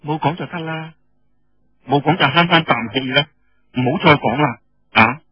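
A man's voice: a little high, moderate pace, husky, no room sound, dull audio, soft background music. A man voicing a shrewd, firm young woman general who teases and scolds, in an audiobook story. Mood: stern warning.

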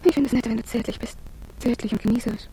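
A woman playing a cool, sensual lover in a radio drama, speaking in a soft, hushed, intimate way. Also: fairly high pitch, steady tone, fast, a little hiss or hum, lightly acted, poor audio. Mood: intimate, questioning.

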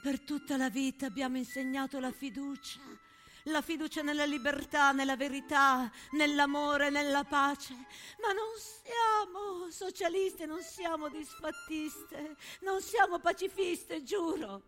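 A woman's voice: high voice, steady tone, measured pace, a bit bright. An actress playing an earnest, idealistic schoolteacher who pleads her case in a dramatic stage monologue. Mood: fervent, insistent. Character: earnest, pleading woman schoolteacher devoted to freedom and peace. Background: accordion music.

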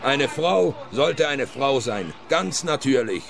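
A man's voice: medium pitch, animated, slow. An actor playing a bitter male trucker who grumbles and mutters curses in a radio play. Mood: bitter, grumbling. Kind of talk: German-language radio play acting. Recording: clear outdoor urban background noise. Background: urban ambience noise.

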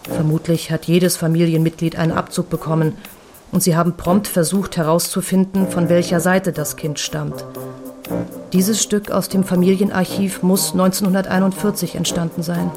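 An actress narrating memories and old photographs in a literary radio drama, with measured, precise recitation. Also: female voice, lowish voice, little pitch movement, natural voice. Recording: music bed behind the voice.

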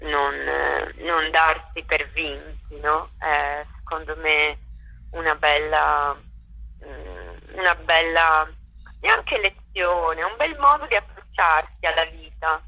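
A woman speaks with a very bright, crisp tone, recorded dry and close. She talks thoughtfully about her work, restarting phrases as she hunts for the right word. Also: sits low, takes it slowly, no room sound, poor audio. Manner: reflective, searching for words with many restarts. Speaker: theatre director and actress. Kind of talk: conversational interview.

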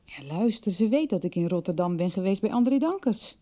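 A woman's voice: mid-range voice, lively intonation, brisk, highs cut off, lightly acted. An actress playing a worried, suffering woman with a plaintive manner in a radio soap. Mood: troubled. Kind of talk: 1990s Dutch radio soap acting.

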